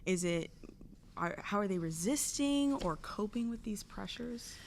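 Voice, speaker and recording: A woman hosting an interview, enthusiastic and quick to affirm her guest. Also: mid-range voice, animated, creaky, slight background noise. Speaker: host. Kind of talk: interview conversation.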